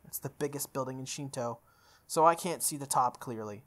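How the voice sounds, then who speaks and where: A young man reading a visual novel aloud as a let's play host, breaking into casual animated commentary. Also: medium pitch, fairly quick.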